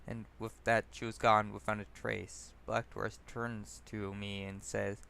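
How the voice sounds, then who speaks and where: Smooth-voiced man reading his story. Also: fairly low pitch, little pitch movement, measured pace, sharp tone. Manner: stumbles over words and corrects himself. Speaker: narrator and author. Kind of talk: reading own written personal essay aloud.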